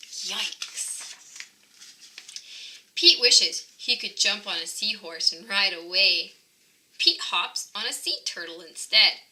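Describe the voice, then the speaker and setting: A woman reading a children's picture book aloud, with expressive, sing-song emphasis for young listeners. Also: medium pitch, a little hiss or hum. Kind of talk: scripted storybook narration.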